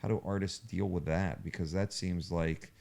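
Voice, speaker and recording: A man's voice: low-pitched, fairly even intonation, moderate pace, creaky, darkish tone. A man hosting a casual podcast conversation, speaking in an earnest, thoughtful way as he feels out his phrasing. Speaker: podcast host.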